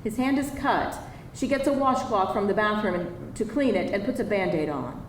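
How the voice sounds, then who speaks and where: A woman's voice: mid-range voice, animated, normal speed. Woman narrator in a roomy hall. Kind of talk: scripted narration of screenplay stage directions. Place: live event room. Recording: roomy, distant, faintly noisy live reading.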